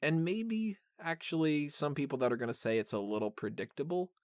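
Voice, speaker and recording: A man's voice: a little high, big pitch swings, brisk, clean tone, dry recording, studio quality. A man hosting a podcast, chatting casually and off the cuff about films, rambling, with blunt opinions and the odd joke. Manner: rambling, conversational, frequent "like" and "kinda". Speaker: podcast host reviewing a film. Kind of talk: casual unscripted review chat.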